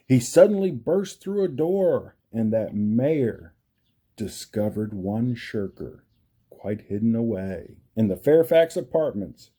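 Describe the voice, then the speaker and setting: Animated, mellow man reading a storybook. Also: fairly low pitch, slightly rough. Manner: emphatic, deliberate, theatrical. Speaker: storybook reader for children. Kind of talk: animated read-aloud storytelling.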